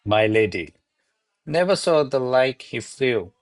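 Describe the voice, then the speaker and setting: A man's voice: mid-range voice, big pitch swings, takes it slowly, no room sound. A man playing a deferential, flustered servant to a noble lady in a radio play, speaking formally and placatingly. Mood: flustered, apologetic. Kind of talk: radio play acting of a classic comedy.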